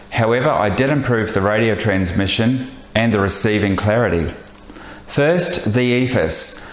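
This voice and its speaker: A man narrating a vlog as a pilot, explaining technical details in a measured, methodical way. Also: on the low side, echoey room. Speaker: pilot and host of flying vlog.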